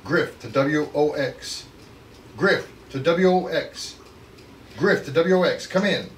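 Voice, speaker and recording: A man plays a menacing spy who reports furtively in a retro space serial. His pitch is slightly high for a man. The recording is roomy with faint noise. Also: slow. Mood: urgent. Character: furtive male traitor radioing secret reports, menacing. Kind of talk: table read of a retro space adventure script. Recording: echoey room, distant mic, faint noise.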